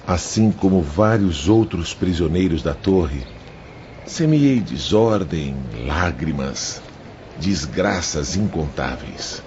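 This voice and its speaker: Casting: male actor as guilt-ridden spirit prisoner, very low, unhurried, grave confessional delivery for audiobook drama. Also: lively intonation, darkish tone. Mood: remorseful, resigned. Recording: dull audio with faint background noise.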